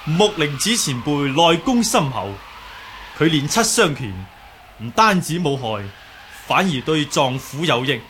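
Young wuxia hero, Cantonese, slightly high voice, calm, courteous, learned, radio drama, faint background noise. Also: male voice, unhurried. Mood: politely firm. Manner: polite, humble, explanatory. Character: calm, learned young martial artist with healing knowledge.

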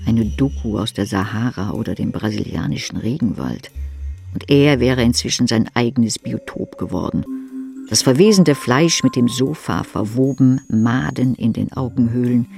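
A man with a creaky voice narrating a literary audiobook in the second person. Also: mid-range voice, a little mellow. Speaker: audiobook reader.

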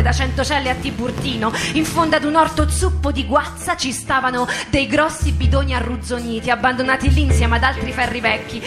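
A woman narrator giving a dramatic literary reading in radio theatre, with measured, deliberate phrasing. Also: high voice. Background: music.

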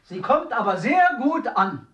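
Echoey, bright-voiced nervous actor. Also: male voice, very high-pitched, big pitch swings, unhurried, heavily acted. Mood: agitated, insistent. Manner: hesitant, apologetic, earnest. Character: nervous, eager, self-absorbed male actor. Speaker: actor in a radio drama. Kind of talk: German radio play acting. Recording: very echoey, distant from mic.

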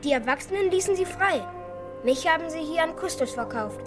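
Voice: very high-pitched, expressive, brisk. An actor in a children's radio play voicing a well-bred, clever merchant's son who explains things carefully and eagerly. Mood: thoughtful. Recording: studio radio play with music underneath.

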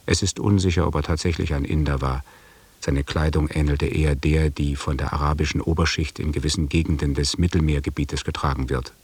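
A man's voice: extremely low pitch, steady tone, brisk, darkish tone, husky, dry recording, full character voice. A man playing a sober expedition chronicler, narrating in a factual, precise, detached way in a German radio drama. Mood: matter-of-fact.

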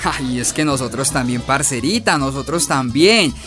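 An actor playing a menacing, mock-friendly gang extortionist in a radio drama, with slangy Colombian speech from Medellín. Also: male voice, mid-range voice, animated, moderate pace, a bit bright, faint music behind, natural voice. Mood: sly threatening. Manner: mock-friendly, insinuating threats.

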